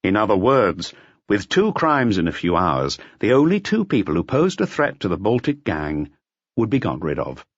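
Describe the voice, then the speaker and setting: A man narrates a detective audiobook in a fairly low voice with lively, varied intonation. The recording is dry and close, though a little muffled. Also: normal speed, no room sound. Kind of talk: scripted narration of a detective novel.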